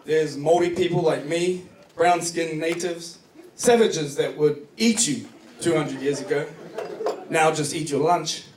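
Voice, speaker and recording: Echoey, high-pitched New Zealand male stand-up. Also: fairly even intonation, measured pace. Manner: profane, joking storytelling. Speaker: stand-up comedian. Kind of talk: stand-up comedy set. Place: comedy club stage before a live audience. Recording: distant echoey club recording, noisy laughing crowd.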